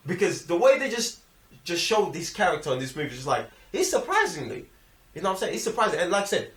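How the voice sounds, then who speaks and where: A man's voice: high-pitched, a bit bright, far from the mic. A young man with a London accent chatting casually in heavy slang, often checking that listeners follow him. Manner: slangy, often seeking agreement. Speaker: film review podcast host. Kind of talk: casual film chat. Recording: echoey room, slightly off-mic.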